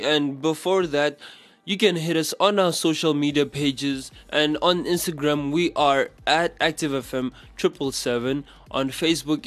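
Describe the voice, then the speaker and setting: A man's voice: mid-range voice, fairly bright tone. A man from South Africa hosting a casual radio chat show as a DJ. He talks in a chatty, rambling way and second-guesses himself mid-sentence. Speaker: radio DJ host.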